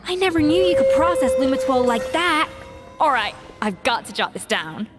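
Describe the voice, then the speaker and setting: High-voiced spirited young chef. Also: female voice, expressive, normal speed, over music. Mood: amazed. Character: spirited young female chef, theatrical and curious. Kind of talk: video game voice acting.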